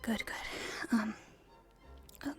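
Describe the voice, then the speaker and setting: A woman playing a proper young princess in an ASMR roleplay, speaking formally and hesitantly in a soft, breathy half-whisper. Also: drawn-out words, music in the background. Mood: apologetic, uneasy. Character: proper young princess at her debut, stifled, secretly rebellious.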